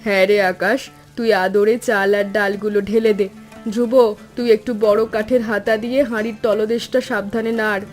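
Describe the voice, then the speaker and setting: Motherly housewife for animated tale, female actor: caring, earnest, steady intonation, faint music underneath. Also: medium pitch, a little fast, lightly acted. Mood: affectionate. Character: gentle motherly village housewife, caring and selfless. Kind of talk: animated story dialogue.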